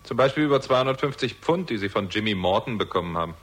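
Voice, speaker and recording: A man's voice: fairly low pitch, animated, quick pace, slightly rough. A man playing a dogged, dry-witted investigator in a German radio crime drama, questioning in short, probing phrases. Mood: probing, insistent.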